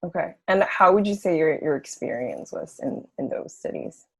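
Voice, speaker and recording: A woman's voice: deep voice, big pitch swings, normal speed, creaky, breathy edge. A woman hosting an interview who asks questions and encourages her guest with short replies. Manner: encouraging, many short backchannels. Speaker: host.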